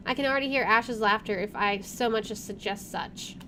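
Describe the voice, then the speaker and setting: A woman's voice: mid-range voice, fairly quick, noisy background. A woman gaming streamer reading visual novel narration aloud and adding casual asides.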